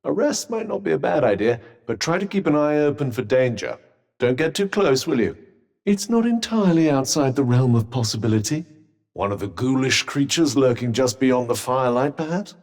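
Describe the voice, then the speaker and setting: A man's voice: medium pitch, very animated, moderate pace, darkish tone, long reverb tail. A man with a British accent voicing a haughty, sardonic magical cuff companion in a video game, with pompous, droll, formal delivery. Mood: calm, advisory.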